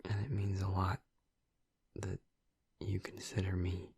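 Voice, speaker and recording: A man playing a gentle, awkward, affectionate vampire in an intimate audio roleplay, speaking softly and breathily with hesitant, halting tenderness, close to the mic. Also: sits low, completely flat delivery, no room sound, heavily acted. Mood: awkward, sincere. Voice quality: soft, breathy, husky. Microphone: close, dry microphone.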